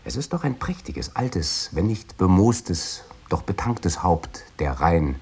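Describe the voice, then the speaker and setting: A man's voice: deep voice, very animated, takes it slowly, a little mellow, husky, exaggerated voice. An actor playing a florid, courtly nineteenth-century man of letters reciting in a literary radio drama. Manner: flowery, courtly.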